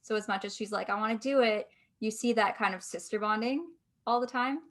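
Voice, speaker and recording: A woman's voice: a little high, brisk, a bit bright. A woman speaking casually as an actor in a cast discussion. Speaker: audio drama actor.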